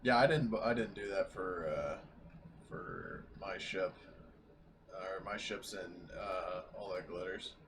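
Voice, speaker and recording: A man's voice: sits low, fairly even intonation, takes it slowly, background noise. A man chatting casually and profanely as a player in a tabletop game. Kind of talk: casual chat.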